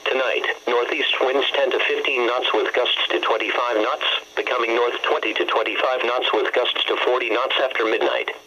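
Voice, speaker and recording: Bright, husky man on lo-fi weather radio. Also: medium pitch, little pitch movement, moderate pace, hardly any pauses. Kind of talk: scripted weather forecast bulletin. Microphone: radio broadcast feed. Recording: dull lo-fi weather radio broadcast.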